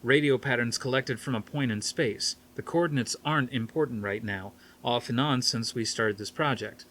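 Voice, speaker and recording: A man reading a storybook as an excitable, insistent male researcher with a loud, eager, emphatic delivery. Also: medium pitch, normal speed, a little hiss or hum, natural voice. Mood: eager, smug.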